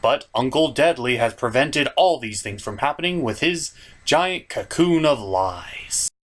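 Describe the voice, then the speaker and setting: Male comedy reviewer, animated pitch, theatrical, exasperated, scripted skit delivery. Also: measured pace. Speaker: comic reviewer and host.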